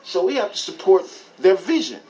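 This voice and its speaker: A man in his sixties, speaking haltingly with many repeated words as a guest on a radio panel. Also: high voice, animated, a crowd in the background, poor audio. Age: 60s.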